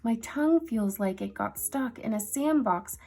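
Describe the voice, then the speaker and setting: A woman voicing a boastful, excitable male mouse cousin who squeaks and teases. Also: moderate pace. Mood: whining. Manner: squeaky, teasing.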